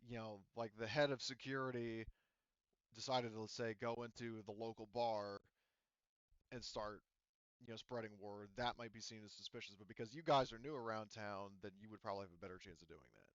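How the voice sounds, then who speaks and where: A man running an online tabletop roleplaying game as game master, explaining in a halting, circling way. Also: fairly low pitch, steady tone, smooth, no room sound, muffled recording. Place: remote online voice call.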